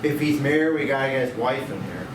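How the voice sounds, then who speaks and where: A man's voice: mid-range voice, expressive, normal speed, lightly acted. Distant man playing brusque crash-response boss. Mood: brisk questioning. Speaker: actor reading at a screenplay table read. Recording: distant, echoey, noisy room.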